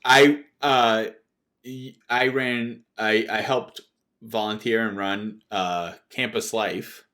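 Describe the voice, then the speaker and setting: Halting man in his late thirties, chatting. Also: lowish voice, fairly even intonation, pushed and bright. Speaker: podcast co-host. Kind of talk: casual unscripted chat.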